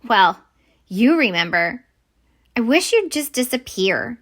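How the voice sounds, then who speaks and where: A woman voicing an exasperated but eager young girl witch in a children's picture book, expressive and exclaiming. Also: a little high, takes it slowly, heavily acted. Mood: frustrated.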